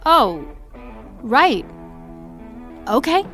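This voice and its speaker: An actress playing a gloomy, weary young woman with a flat, deadpan delivery. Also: high-pitched, very slow, faint music behind, full character voice.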